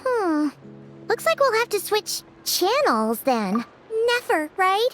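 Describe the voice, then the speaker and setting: A woman voicing a chirpy, excitable little fairy girl companion in a video game, bubbly and theatrical. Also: very high voice, takes it slowly, music in the background, full character voice. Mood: scheming, playful. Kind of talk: animated video game dialogue.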